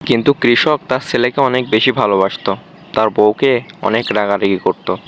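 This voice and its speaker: A man's voice: on the low side, moderate pace, a bit bright. Male storyteller with faint noise behind. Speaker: storyteller and channel host. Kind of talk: scripted fairy-tale narration.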